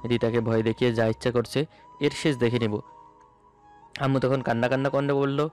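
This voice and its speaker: A man tells a story in the first person as a dramatic narrator. His pitch is middling for a man, and soft music plays behind him. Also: flat delivery, brisk. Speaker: narrator of a romantic audio story. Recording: music bed under the voice. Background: background music.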